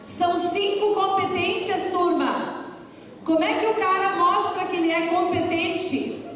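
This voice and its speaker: A woman's voice: extremely high pitch, fairly even intonation, a little slow, a bit bright, recorded from a distance. A woman teacher with a southern Brazilian accent, lecturing a class directly and teasingly. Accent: southern Brazilian (Rio Grande do Sul). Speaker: essay-writing teacher. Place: large classroom. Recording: echoey hall recording from afar with faint hum.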